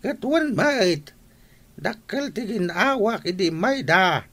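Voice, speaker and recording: An actor playing a folksy village uncle in an Ilocano radio comedy drama, with an Ilocano accent. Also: male voice, high voice, expressive, slow, a bit bright, exaggerated voice. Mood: chatty.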